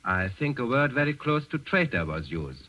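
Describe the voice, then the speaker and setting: A forceful, self-important Nazi official in a vintage radio play. His mid-pitched voice is bright and sharp, formal and quietly threatening, on a dull old broadcast recording. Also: very animated, normal speed. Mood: probing, menacing. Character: officious, smug, menacing German Nazi district governor. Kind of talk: 1940s radio drama acting.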